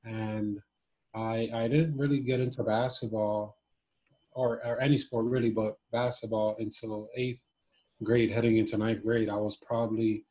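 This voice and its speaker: A man in his twenties from Cuba and Miami, chatting casually and thoughtfully as an interview guest, pausing as he searches for words. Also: fairly low pitch, little pitch movement, mellow, poor audio. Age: 20s. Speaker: interview guest, former basketball player. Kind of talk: casual reflective interview chat.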